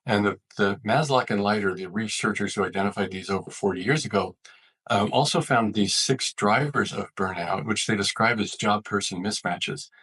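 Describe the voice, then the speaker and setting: A man's voice: on the low side, normal speed, fairly bright tone, breathy edge, rough recording. A man, an expert guest in an interview, explaining his points earnestly and methodically. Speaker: physician, author and leadership expert interviewed as guest.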